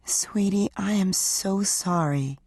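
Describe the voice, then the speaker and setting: A woman voicing an exhausted, stressed wife who speaks in a pleading, strained way, a little higher than her narration. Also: big pitch swings, normal speed, a little mellow, no room sound.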